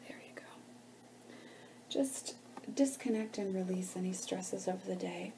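A woman leading a guided meditation in a gentle, hushed, soothing manner with pauses between phrases. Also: mid-range voice, gravelly, slight background noise.

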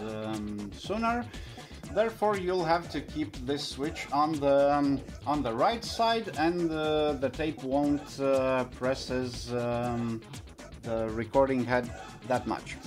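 A man in his thirties who is not a native English speaker, explaining things hands-on as a video host, in a halting, hesitant way with frequent pauses. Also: a little high. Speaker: host of vintage audio videos. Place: small indoor room at home.

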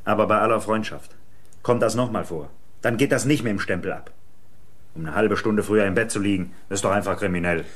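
Stern, dry policeman played by a man, lowish voice. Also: fairly quick, lightly acted. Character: stern but familiar village policeman. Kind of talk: German radio crime drama acting.

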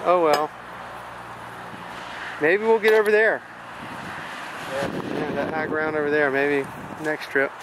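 A man's voice: on the high side, steady tone, slow pace, long pauses. A man chatting casually and jokingly while hosting a handheld vlog, often repeating his phrases. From US South. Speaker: vlog host, relic hunter. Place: open farm field outdoors. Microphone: handheld camera microphone. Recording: noisy windy outdoor camcorder audio.

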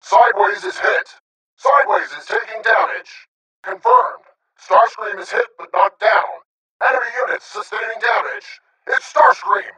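High, urgent robot sniper soldier. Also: male voice, wildly swooping pitch, unhurried, vocal fry, bright, forceful tone, echoey room, distant mic, heavily acted, rough recording. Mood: alarmed. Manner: clipped, urgent, commanding. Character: male robot sniper soldier, disciplined, confident, combative. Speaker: video game character. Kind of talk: military radio-style combat callouts.

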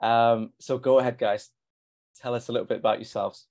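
A man's voice: mid-range voice, fairly even intonation, fairly quick, a little mellow. A man in his thirties hosting an online interview, introducing guests and asking encouraging follow-up questions, speaking from home in headphones. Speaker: show host. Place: home room with gaming chair. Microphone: headphones on video call.

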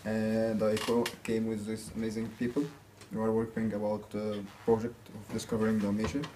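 A man's voice: lowish voice, completely flat delivery, moderate pace, warm tone. Young man, hesitant intro over hiss. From Egypt. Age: young adult. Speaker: student volunteer. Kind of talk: casual self-introduction. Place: small office with green walls. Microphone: far camera. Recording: clear background hiss.

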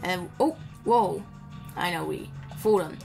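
A woman's voice: fairly low pitch, a little slow, vocal fry, darkish tone. A woman giving casual, improvised gameplay commentary in a playful, chatty way. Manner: chatty, playful, mumbles asides to the game character. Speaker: gamer hosting a playthrough. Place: indoor voice-over recorded over game audio. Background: video game music.

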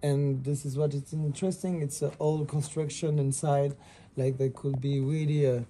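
A young man with a French accent speaks in a soft, mellow tone, giving a casual showroom walk-through. His English is hesitant yet enthusiastic, and faint room noise sits behind him. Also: a little high, fairly even intonation, moderate pace. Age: young adult. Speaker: fashion designer presenting a collection.

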